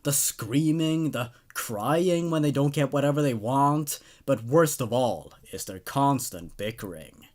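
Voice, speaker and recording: Man reading as a weary dad, animated testimonial. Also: mid-range voice, measured pace, few pauses, lightly acted. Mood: exasperated. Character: weary, doting father of bickering twins giving testimonial.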